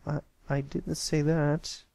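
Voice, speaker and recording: A man's voice: a little high, little pitch movement, slow, vocal fry, a little mellow, voice pushed up. A man voicing a bashful, meek young colt in a storybook reading, stammering and mumbling modestly.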